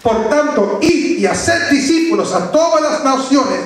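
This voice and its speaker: A heavily echoing room carries the very high-pitched voice of a man giving a sermon. He teaches doctrine emphatically, repeating key phrases for stress and putting rhetorical questions to his congregation. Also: animated, unhurried. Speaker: preacher. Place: echoey room, preaching before a congregation. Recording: very echoey, reverberant room.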